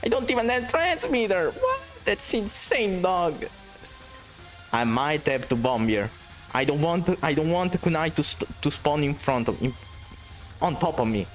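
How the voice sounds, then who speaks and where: Excitable Italian-accented male game streamer. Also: fairly high pitch, measured pace, faint music behind, low-quality recording. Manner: excitable, swearing, reading chat aloud. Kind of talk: casual gameplay commentary.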